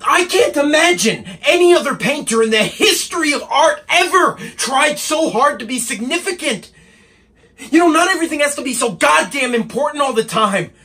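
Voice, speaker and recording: A man's voice: high voice, sharp tone. A man performing a stage monologue as an angry, wounded young painter's assistant, bitterly sarcastic and repeating phrases for emphasis. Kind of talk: dramatic stage monologue acting.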